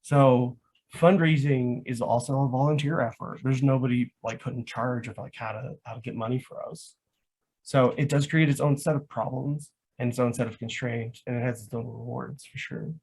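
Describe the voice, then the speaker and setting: A man's voice: medium pitch, steady tone, normal speed, creaky, darkish tone, poor audio. A man explaining things in casual chat as a guest, rambling and leaning heavily on the word "like". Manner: rambling, says "like" constantly. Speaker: guest, live action roleplay organiser.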